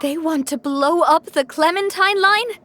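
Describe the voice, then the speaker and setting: A woman's voice: extremely high pitch, unhurried, fairly bright tone. An actress playing a confident young woman leader who talks urgently and decisively, in a game cutscene.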